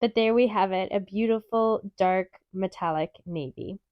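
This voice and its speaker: A woman with a stuffy, nasal voice from a cold, calmly explaining steps in a craft tutorial. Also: medium pitch, normal speed, no room sound, highs cut off. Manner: calm, methodical, chatty explaining. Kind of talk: step-by-step craft tutorial narration.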